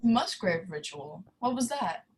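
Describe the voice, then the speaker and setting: An old-time radio announcer opens the show with formal delivery. The voice sits at a middle pitch over a faint noise. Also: female voice, very animated, unhurried. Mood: curious.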